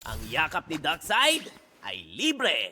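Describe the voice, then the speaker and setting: Over-friendly game showman, theatrical, piercingly bright, hugely animated, echoing reverb. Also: a little high, takes it slowly, full character voice. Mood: showy, welcoming. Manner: theatrical, swooping. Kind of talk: game character voice lines. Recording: reverberant game audio, some noise.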